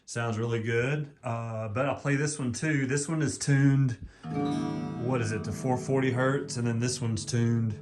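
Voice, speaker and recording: A man from East Texas with a deep voice, rambling in a folksy way with many ums in a casual home how-to video, recorded at home on a camera mic. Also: steady tone, measured pace, darkish tone, faint music behind. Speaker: vlogger.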